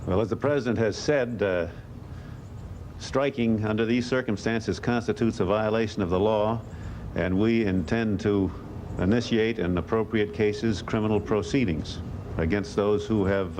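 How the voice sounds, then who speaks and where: American male legal official, lowish pitch, halting careful answers, noticeable background noise. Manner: hesitant, careful legal phrasing. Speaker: attorney general. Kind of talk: press conference answers. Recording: clear rumbly room noise.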